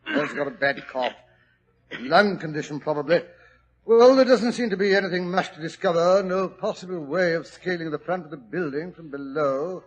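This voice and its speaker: A genial doctor. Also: male voice, fairly high pitch, normal speed, husky, highs cut off. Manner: polite, hesitant, chuckling. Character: middle-aged male doctor, Holmes' friend, genial. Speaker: narrator and lead. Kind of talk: 1940s radio acting.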